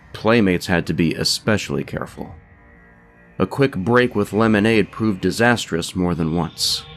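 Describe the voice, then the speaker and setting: A man reading a scripted comic storybook tale as narrator, with dry humour in an expressive delivery. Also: fairly low pitch, vocal fry. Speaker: narrator, writer and producer.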